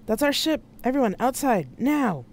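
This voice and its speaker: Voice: medium pitch, lively intonation, brisk, a little mellow, clean tone, pitched above the performer's own voice, lightly acted. A narrator voicing a commanding, urgent male chief. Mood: shouting, urgent. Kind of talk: audiobook character dialogue.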